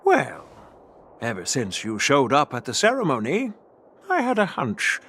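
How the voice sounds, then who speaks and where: A man's voice: medium pitch, wildly swooping pitch, a little slow, pushed and bright, slightly rough, exaggerated voice. An actor playing an elderly general and doting grandfather in a video game, patient and easily amused. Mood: calm, fond. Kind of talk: scripted game voice acting.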